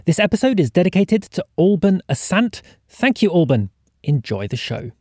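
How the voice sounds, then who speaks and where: A man's voice: sits high, sing-song, normal speed, a little mellow, breathy edge, no echo. A man introducing an audio drama episode as its podcast host, in a scripted welcome.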